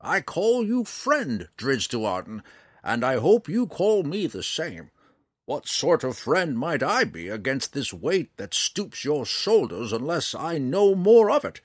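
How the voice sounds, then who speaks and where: A man's voice: medium pitch, huge pitch swings, unhurried, a bit bright, no room sound, studio quality, exaggerated voice. A man voicing an earnest, emphatic old male ranger in a fantasy audiobook. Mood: perturbed, insistent.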